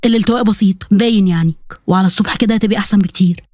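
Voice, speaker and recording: A woman storyteller plays a stern young husband in an audio story. The voice drops lower and turns gruff and menacing, giving quick, clipped orders with little patience, in a dry recording. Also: a little mellow, no echo, lightly acted. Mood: gruff, firm.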